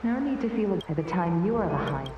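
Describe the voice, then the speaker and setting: A serene, ageless elder from a fantasy game, gentle, formal and rather low. The voice drifts from afar through a very echoing hall, with noise. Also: female voice, big pitch swings, a little fast. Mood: serene farewell. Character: serene, ageless clan elder nearing rebirth. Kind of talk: dramatic video game voice acting. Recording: distant echoing hall, muffled, noisy.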